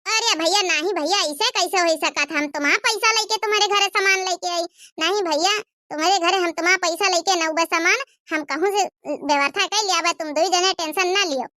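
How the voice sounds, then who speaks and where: A woman's voice: very high-pitched, brisk, pushed and bright, no echo, clean studio sound. A woman voicing a poor, affectionate and self-respecting grandmother in a cartoon comedy, humble in manner, with an Awadhi accent. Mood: humble, heartfelt.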